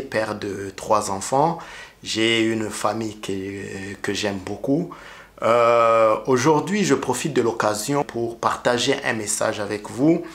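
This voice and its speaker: Rwandan guy preaching, kinyarwanda accent, really slow, pauses a lot, middle-aged. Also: medium pitch, a bit bright. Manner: slow, earnest, with many pauses and restarts. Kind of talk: sermon and testimony with prayer.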